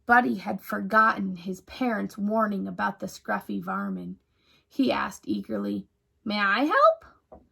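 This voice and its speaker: A woman reading a children's storybook aloud like a teacher to young pupils, deliberate, emphatic and expressive. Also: medium pitch, creaky, husky.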